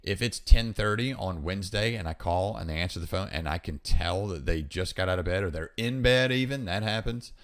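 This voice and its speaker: A man's voice: deep voice, fairly quick, few pauses, a bit bright. A man hosting a podcast, talking casually and instructively, emphatically repeating his key points. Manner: emphatic, repeats key points, acts out example phone calls. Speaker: podcast host giving hiring advice.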